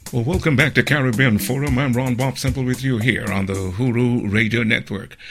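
A man hosting a radio talk program who introduces and interviews a guest in a measured way, with frequent "uh" fillers. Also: mid-range voice, fairly even intonation, music in the background. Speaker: radio talk show host.

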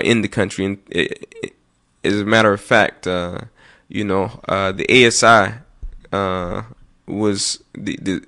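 A man hosting a call-in radio talk show, speaking with frequent pauses and restarts, slowing down to read out phone numbers. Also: fairly low pitch, vocal fry, bright, forceful tone. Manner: many fillers and restarts, slow over numbers. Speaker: radio host.

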